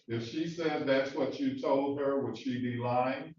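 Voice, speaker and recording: A man cross-examining a witness in a courtroom, questioning in a halting way with many fillers and restarts. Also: mid-range voice, steady tone, darkish tone, a little hiss or hum. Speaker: defense attorney questioning a witness. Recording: echoey, distant, dull courtroom audio.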